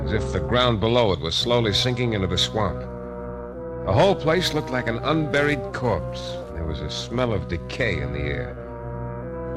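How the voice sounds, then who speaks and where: Man playing a wry, animated American detective, music behind. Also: mid-range voice, natural voice. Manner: dry, wisecracking. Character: wry, hardboiled male private detective. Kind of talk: old-time radio drama acting with first-person narration.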